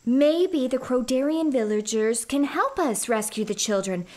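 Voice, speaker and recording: A woman playing an earnest, conscientious starship doctor in a comic science fiction radio play, quick to object. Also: high voice, lively intonation, a little mellow. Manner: earnest, indignant.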